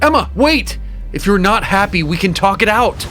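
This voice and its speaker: A man's voice: high voice, animated, moderate pace, fairly bright tone, faint music behind. A man playing a domineering, calculating boss in an audio drama, with a commanding, dramatic delivery. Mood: urgent. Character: domineering, calculating male boss, easily exasperated.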